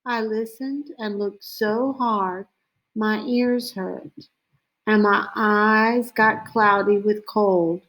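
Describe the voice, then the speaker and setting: A woman reading a children's story aloud in a deliberate way, with frequent pauses between short phrases. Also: medium pitch, steady tone, a bit bright, rough recording. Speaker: storybook reader.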